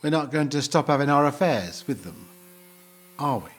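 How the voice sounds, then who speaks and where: Man playing a mild, pedantic husband, lively intonation. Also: mid-range voice, normal speed, close to an everyday voice. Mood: matter-of-fact. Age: middle-aged. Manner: hesitant, literal-minded, polite. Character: mild, pedantic, slow-witted middle-aged husband. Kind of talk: two-hander comic audio play dialogue.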